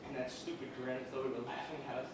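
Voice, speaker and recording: A man's voice: mid-range voice, level tone, rapid-fire, natural voice. An actor playing a resentful, guilt-ridden man who is tense and paranoid. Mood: suspicious. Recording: very echoey, distant mic, clear background noise.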